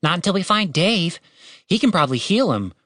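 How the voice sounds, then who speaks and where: A woman's voice: lowish voice, very animated, fairly quick, fairly bright tone, no echo, voice pushed up. Woman acting a young male storybook adventurer, exasperated, casual, defensive, dry close sound. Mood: worried. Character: testy, defensive young man, reluctant adventurer.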